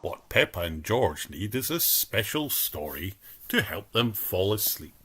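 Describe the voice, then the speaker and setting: A man's voice: on the low side, slightly rough. A man reading a children's picture book aloud as narrator, with music playing behind. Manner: slow, very expressive.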